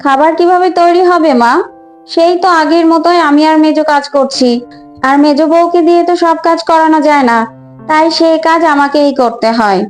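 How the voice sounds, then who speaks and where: A woman voicing a sharp-tongued, scheming eldest sister-in-law in a Bengali family cartoon. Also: high voice, a little fast, soft background music, natural voice. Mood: complaining.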